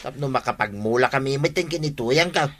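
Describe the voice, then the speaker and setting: An actor as a sharp-voiced, pleading village man. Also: mid-range voice, brisk, lightly acted. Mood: insistent. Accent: Filipino, Ilocano. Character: earnest, persistent villager seeking farming help, plain-spoken buddy. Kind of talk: comic radio drama acting.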